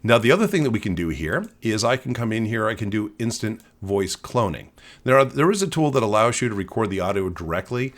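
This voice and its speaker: A man presenting a casual, conversational software walkthrough, explaining as he goes. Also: fairly low pitch, big pitch swings, a little fast. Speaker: tech tutorial presenter. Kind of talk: casual screen-share walkthrough.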